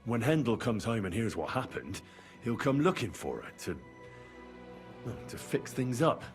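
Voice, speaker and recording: A man acting a calm, measured schemer in a video game drama. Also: medium pitch, close to an everyday voice. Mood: conspiratorial. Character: calm male schemer laying out a plot. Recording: music under the voice.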